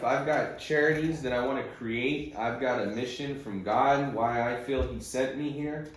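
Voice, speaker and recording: Blunt male trading coach in echoey room. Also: medium pitch. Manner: blunt, insistent, emphatic repetition. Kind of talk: informal instructional monologue on a group call. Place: conference call recording in echoey room.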